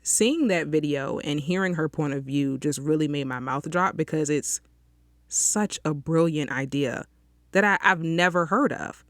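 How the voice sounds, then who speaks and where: A woman's voice: sits low, unhurried, dry recording, studio quality. Chatty young woman hosting a podcast. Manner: chatty, often asks listeners "okay?". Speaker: podcast host. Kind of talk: casual solo podcast monologue. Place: small closet, outdoor sounds leaking in.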